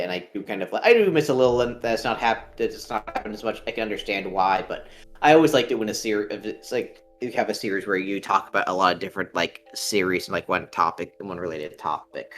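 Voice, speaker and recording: A man's voice: fairly low pitch, fast talker, soft background music. A young man with a nasal voice, chatting casually on a live stream, rambling with many ums and restarts. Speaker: stream co-host.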